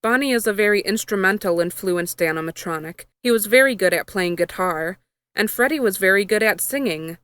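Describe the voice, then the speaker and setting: A woman's voice: mid-range voice, animated, a little fast, a bit bright, clear, resonant tone. A woman narrating a first-person story, reading from a script as she goes. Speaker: fan fiction reader.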